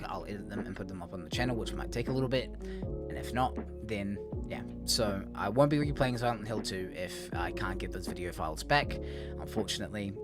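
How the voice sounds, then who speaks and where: Hoarse man giving a channel update. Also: lowish voice. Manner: rambling, with many ums and restarts. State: hoarse, has lost his voice from a cough. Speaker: gaming streamer and YouTuber. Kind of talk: casual channel update to viewers. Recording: guitar music playing under the voice.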